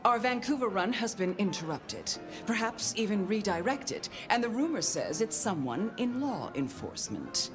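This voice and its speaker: An actress playing a haughty, condescending vampire crime boss in dramatic, deliberate video game dialogue. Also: female voice, mid-range voice, big pitch swings, music in the background, natural voice. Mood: imperious.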